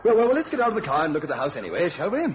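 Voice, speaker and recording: Quick, flustered, high-voiced salesman. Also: huge pitch swings, muffled recording, background noise. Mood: nervously upbeat. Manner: chatty, nervous, ingratiating. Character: eager, flustered male house agent. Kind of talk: radio thriller acting.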